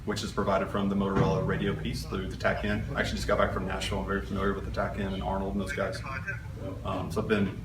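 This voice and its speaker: A man gives a quick, polite technical sales pitch in a low, level voice. He is heard from a distance in a very echoey room, with loud noise over him. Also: completely flat delivery. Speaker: vendor sales representative. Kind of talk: informal sales pitch at a public meeting. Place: large indoor public meeting room.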